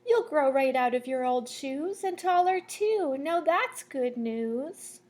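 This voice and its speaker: A woman reading a children's picture book aloud like a teacher, in a measured, sing-song storytelling way. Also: sits high, lively intonation, a little mellow. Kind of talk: children's read-aloud storytelling with asides to listeners.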